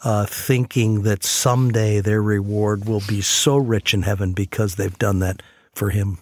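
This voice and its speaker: A man's voice: lowish voice, flat delivery, unhurried, dry recording. A man telling stories conversationally and earnestly as host of a faith radio program. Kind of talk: conversational storytelling on a faith talk show.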